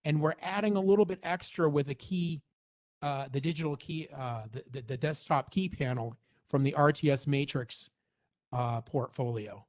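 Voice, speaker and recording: A man with a slightly high voice gives a product demonstration. He explains things step by step in an informal presenter's manner, sounding like someone talking through a technical overview from loose notes. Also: moderate pace, a little mellow.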